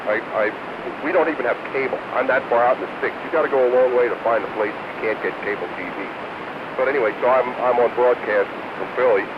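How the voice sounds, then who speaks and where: Loud radio noise surrounds a muffled male voice of medium pitch. The man rambles casually and hesitantly, as in an on-air chat between radio operators. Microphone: radio transceiver microphone.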